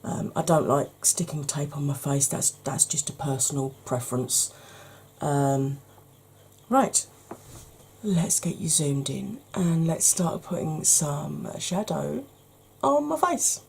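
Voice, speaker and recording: A woman, hoarse from hay fever, chatting through a makeup tutorial step by step and speaking straight to her viewers, with frequent ums. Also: low voice, lively intonation, slow pace, darkish tone, slight background noise.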